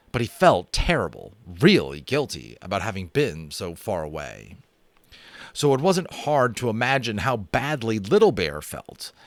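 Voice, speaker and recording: An American man narrating a children's novel aloud in a dramatic, animated way, with chatty, self-amused asides. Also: fairly low pitch, no echo. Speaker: audiobook reader and host. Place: home room.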